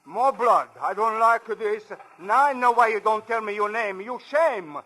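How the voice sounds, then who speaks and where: High-voiced old immigrant sweeper, foreign accent. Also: male voice, moderate pace, sharp tone. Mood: suspicious, frightened. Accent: foreign, non-native English. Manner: chatty, broken English. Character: chatty, simple old immigrant platform sweeper. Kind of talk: 1940s radio acting.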